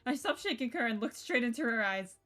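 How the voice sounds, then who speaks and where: A woman streamer reading visual novel narration aloud in a playful, expressive storytelling way, with casual asides. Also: a little high, fairly quick. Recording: music behind the voice.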